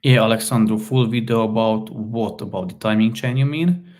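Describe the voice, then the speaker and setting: A man's voice: fairly low pitch, darkish tone. A man from Slovakia whose first language is Hungarian, chatting casually on a live stream and answering viewer comments with frequent restarts and fillers. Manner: halting, repeats words, many fillers. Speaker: live stream host, car repair hobbyist.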